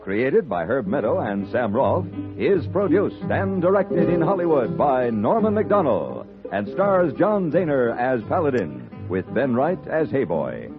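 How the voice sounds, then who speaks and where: A man's voice: mid-range voice, wildly swooping pitch, measured pace, hardly any pauses. A man with an American accent announcing scripted credits in a formal, emphatic 1950s radio style. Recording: tinny vintage radio broadcast, music underneath.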